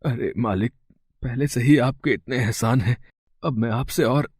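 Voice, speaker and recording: A man's voice: medium pitch, measured pace, breathy edge, dry recording, studio quality. A man playing a poor middle-aged village father, anxious, hesitant and deferential, in a moral story drama. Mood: humble, flustered.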